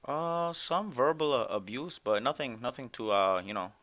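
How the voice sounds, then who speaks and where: A man's voice: mid-range voice, lively intonation, normal speed, pushed and bright. A man roleplaying a defendant, telling his side of the story in court in a rambling way with lots of fillers. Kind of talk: casual roleplay testimony.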